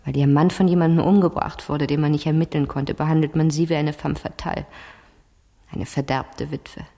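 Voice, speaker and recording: A woman's voice: sits low, fairly even intonation, normal speed, warm tone. An actress playing an inquisitive, outspoken fifteen-year-old girl in a radio crime drama, probing insistently and directly. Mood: indignant, scornful. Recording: 1970s radio production with long reverb.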